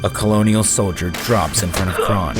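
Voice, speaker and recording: A man's voice: on the low side, steady tone, moderate pace. A man giving dramatic, scripted narration of a sci-fi audio drama. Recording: loud music and effects behind.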